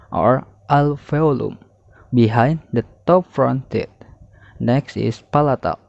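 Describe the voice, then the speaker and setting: A young man works methodically through a student presentation at a slow pace. His voice sits at a middling pitch, in a dry, slightly dull recording. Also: gravelly, warm tone, no room sound. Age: young adult. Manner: methodical, listing term then definition. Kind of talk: read-aloud lesson presentation.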